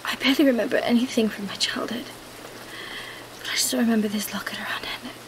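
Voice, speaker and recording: A woman's voice: fairly high pitch, steady tone, fast, close to an everyday voice, poor audio. A woman playing a cunning female vampire who feigns weakness, in an ASMR roleplay. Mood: weary, resigned.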